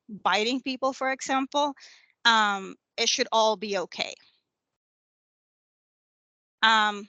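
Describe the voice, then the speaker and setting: Woman, lawyer, informal presentation, bright, dry close mic. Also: a little high, no room sound. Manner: informal, many fillers and restarts. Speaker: tenant rights attorney. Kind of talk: educational presentation.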